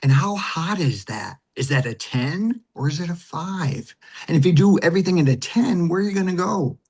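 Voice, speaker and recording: A man's voice: on the high side, animated, moderate pace, a little mellow, husky. A man speaking conversationally in an interview as an acting teacher and director, with an emphatic, instructive manner that leans on repeated phrases.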